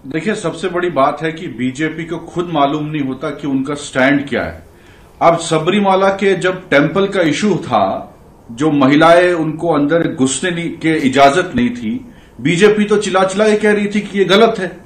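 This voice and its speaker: A man's voice: fairly high pitch, normal speed, roomy sound. A man with an Indian accent arguing his side insistently in a heated TV panel debate. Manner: argumentative, insistent. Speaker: political party spokesman.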